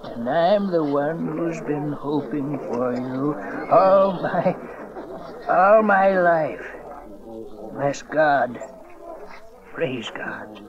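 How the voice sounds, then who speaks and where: An actor playing a devout, frail old prophet in a dramatized audio Bible, tender and overjoyed, his wavering voice dropping into whispers. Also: on the high side. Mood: tearful joy. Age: older. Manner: slow, emotional, reverent. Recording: muffled cassette with crowd behind.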